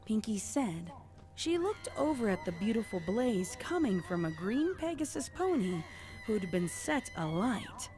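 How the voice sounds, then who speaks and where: A woman's voice: medium pitch, big pitch swings, measured pace. A woman reading scripted fan fiction as the story's narrator. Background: music.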